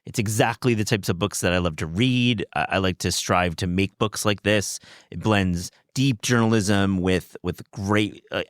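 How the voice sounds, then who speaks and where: A man's voice: low voice, clear, resonant tone, no room sound. A man hosting a podcast interview in a studio, talking effusively with frequent stumbles and repeated words. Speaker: podcast host. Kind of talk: casual interview chat. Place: recording studio.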